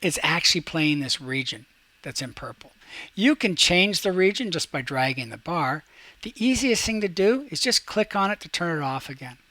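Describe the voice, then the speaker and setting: Guy teacher doing a software tutorial, kinda high voice, no room echo, explains step by step. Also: expressive, normal speed. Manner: talks through each step while demonstrating, checks in with "okay". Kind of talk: software tutorial for a class, casual instruction.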